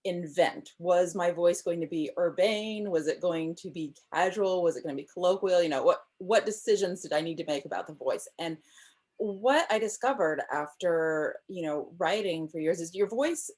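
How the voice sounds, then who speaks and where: A woman's voice: fairly low pitch, normal speed, darkish tone. A woman in her thirties, a novelist guest, explaining her ideas on writing with enthusiasm and frequent ums over a video call from a room at home. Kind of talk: casual interview chat.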